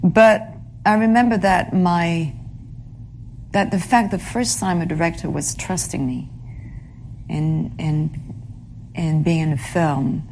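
A woman being interviewed about her acting career, speaking haltingly and often pausing to search for the right English word. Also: fairly low pitch, fairly even intonation, a little mellow, a little hiss or hum. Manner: halting, searches for English words, many fillers. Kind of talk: reflective interview answers.

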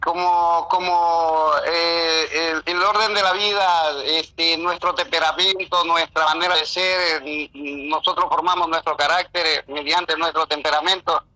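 A man's voice: on the high side, flat delivery, measured pace, few pauses, sharp tone, no room sound, poor audio. A man calling into a radio show by phone, rambling in a preachy, hesitant way about God and good character. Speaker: caller. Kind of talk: religious phone-in chat.

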